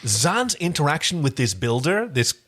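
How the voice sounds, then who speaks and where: A man with a fairly high voice chats as a podcast co-host. His delivery is enthusiastic and expressive, swinging widely in pitch as he recaps television in a casual style. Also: normal speed. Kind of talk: casual TV episode recap chat.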